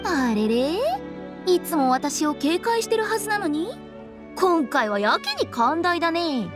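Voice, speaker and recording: A woman's voice: high-pitched, sing-song, measured pace. A woman playing a playful, shrewd young funeral director in an anime game, with teasing, sing-song, probing delivery. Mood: sly. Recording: background music under voice.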